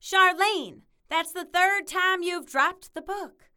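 A woman's voice: very high voice, takes it slowly, pushed and bright, full character voice. A woman playing an attentive book-club member in an audio drama. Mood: matter-of-fact.

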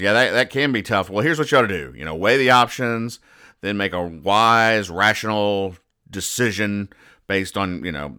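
A man hosting a comedy podcast, reading out comic strips and explaining them in a deadpan, over-literal way. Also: on the low side, clear, resonant tone. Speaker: podcast host.

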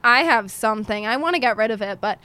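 A young woman with a New York accent, co-hosting a casual chat and telling stories in an animated way, putting on voices. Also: on the high side, fast, clean tone, no echo. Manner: animated storyteller, acts out voices. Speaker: co-host.

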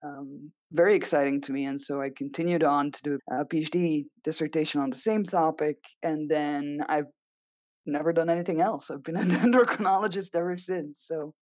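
Interview guest: Belgian woman, Dutch accent, low voice, dry recording, hesitant and thoughtful. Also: steady tone, moderate pace, a little mellow, no echo. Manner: thoughtful, with frequent ums and restarts. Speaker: guest scientist. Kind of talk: interview answers.

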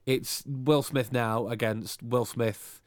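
A man's voice: medium pitch, steady tone, takes it slowly, dry recording, clean studio sound. A man co-hosting a podcast, rambling in an easygoing casual chat. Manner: rambling, easygoing, restarts his thoughts. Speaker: podcast co-host.

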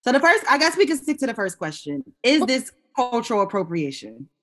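Lively woman co-hosting a chat show. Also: on the high side, fairly quick. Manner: animated, laughing, exclaiming. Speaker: co-host. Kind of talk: casual podcast chat.

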